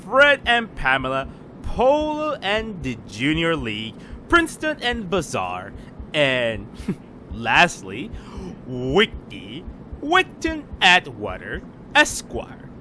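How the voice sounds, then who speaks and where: A man's voice: fairly high pitch, huge pitch swings, takes it slowly, pushed and bright, a little hiss or hum, exaggerated voice. A man playing an arch, flippant society wit in an old-time radio drama. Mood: arch, mocking. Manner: arch, grandiose, drawling. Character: flippant, theatrical society wit, nervous male jester. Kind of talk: 1930s radio acting.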